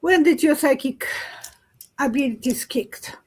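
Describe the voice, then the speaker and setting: A woman speaks English as a while hosting a chat on a video call. Her voice sits high, and she asks her questions eagerly. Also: unhurried, darkish tone. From Poland. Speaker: host, psychic. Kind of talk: casual chat.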